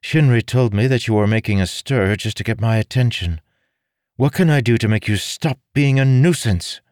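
A man's voice: medium pitch, normal speed, darkish tone, dry recording, clean studio sound, voice pushed up, natural voice. A man voicing a commanding, cool noblewoman in a fantasy audiobook, with a curt, imperious manner. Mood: impatient.

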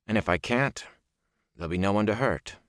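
A man's voice: on the low side, lively intonation, normal speed, fairly bright tone, dry recording, studio quality, voice pushed up. A man voicing a troubled, stubborn young village man in a fantasy audiobook, earnest and insistent. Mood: resigned, troubled.

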